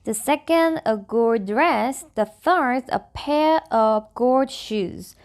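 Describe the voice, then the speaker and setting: Slow, high-voiced Korean-accented woman. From South Korea. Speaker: vlogger reading stories aloud. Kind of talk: storybook reading with casual chat.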